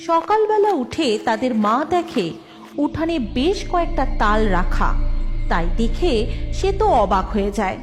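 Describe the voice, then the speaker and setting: A woman tells a scripted children's story in a fairly high voice. Her narration is very lively in pitch, with music clearly behind it. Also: measured pace.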